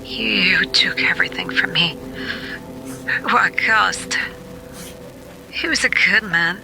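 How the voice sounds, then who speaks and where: A woman playing a haunted, grieving young adventurer in an audio drama, with an anguished, halting delivery. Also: mid-range voice, over music, low-quality recording. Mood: anguished, bitter. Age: young adult. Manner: anguished, halting, breathy at times.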